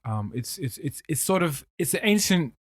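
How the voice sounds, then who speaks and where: Casting note: man from Ethiopia, podcast host, a little high-pitched, stop-start explainer, dry close mic. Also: big pitch swings, no echo. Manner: halting, many restarts, explains at length. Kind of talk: casual podcast chat.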